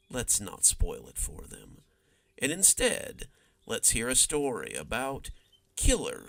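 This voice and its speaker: A man reads scripted horror fiction as a storytelling host. His delivery is dramatic and very varied in pitch, with noticeable fry in the voice. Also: darkish tone, no echo. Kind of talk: scripted first-person horror narration.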